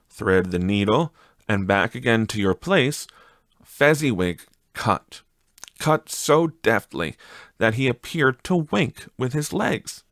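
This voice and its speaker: Slow-paced man narrating a classic story. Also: mid-range voice, dry recording, clean studio sound. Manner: measured, expressive phrasing. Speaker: audiobook narrator.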